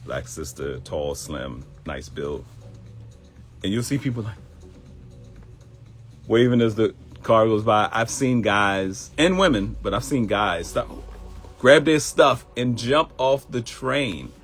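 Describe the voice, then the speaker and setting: A man's voice: lowish voice, expressive, unhurried, fairly bright tone, faint music behind. A middle-aged man with a Baltimore accent hosting a live morning show in casual talk, addressing viewers directly and acting out quoted voices.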